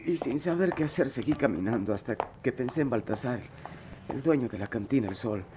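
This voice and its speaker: A man's voice: medium pitch, animated, background noise, natural voice. An actor playing a troubled, proud, streetwise poor boy in a radio drama, with a Mexican accent and slangy, impassioned delivery. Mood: anguished, ashamed. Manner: slangy, impassioned, halting when cornered.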